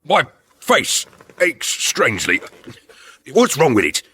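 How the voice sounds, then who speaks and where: An actor playing a pompous, menacing alien captain in a radio comedy, slow and theatrical, with a rasping delivery. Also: male voice, medium pitch, wildly swooping pitch, pushed and bright, a little hiss or hum, exaggerated voice. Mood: baffled. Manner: slow, theatrical, bellowing commands and verse. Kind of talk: sci-fi radio comedy acting.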